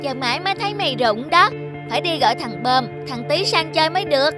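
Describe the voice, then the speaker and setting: High-pitched, playful little boy. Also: lively intonation, fast talker. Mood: playful, excited. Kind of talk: cartoon voice acting. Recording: music under the voice.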